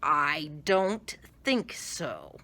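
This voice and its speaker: A woman's voice: low-pitched, very slow, gravelly, heavily acted. Woman doing a grumpy, fed-up storybook boy. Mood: stony refusal.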